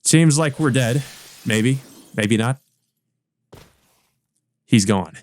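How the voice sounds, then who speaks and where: A man's voice: medium pitch, very slow, darkish tone. A man doing a let's play, reading visual novel narration aloud and adding casual commentary of his own. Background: game music.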